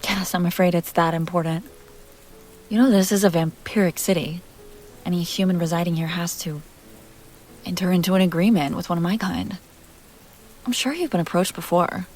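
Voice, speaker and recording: A woman playing a seductive, refined vampire heiress in an intimate roleplay audio drama, speaking softly in deliberate, genteel phrases with breathy, teasing asides that often drop to a whisper. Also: lowish voice, soft background music, lightly acted. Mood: polite, explaining.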